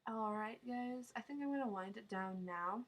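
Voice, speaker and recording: A woman's voice: a little high, normal speed. A young woman with a nasal voice from a sinus cold, chatting casually while answering viewer questions on a live stream, rambling and laughing often. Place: home, small town.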